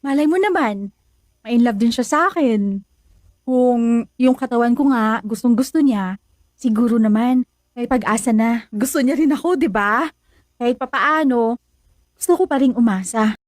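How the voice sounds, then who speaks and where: A woman's voice: a little high, moderate pace, long pauses, darkish tone, no room sound, studio quality, close to an everyday voice. An actress voicing a lovelorn young woman in a Filipino radio drama, speaking in an emotional, pleading way. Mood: wistful, hopeful. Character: lovelorn young woman, hopeful then hurt and jealous.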